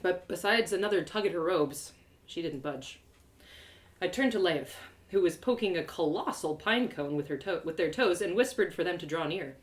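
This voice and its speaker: A woman reading her fantasy story aloud in a theatrical, expressive first-person narration. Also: on the low side, normal speed. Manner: theatrical, animated delivery.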